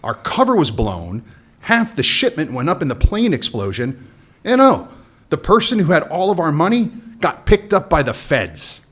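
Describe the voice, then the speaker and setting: A man's voice: fairly high pitch, very animated, moderate pace, a bit bright. An actor playing a cocky, scheming male smuggler boss with a sardonic, wisecracking delivery in a comic radio play. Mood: wry.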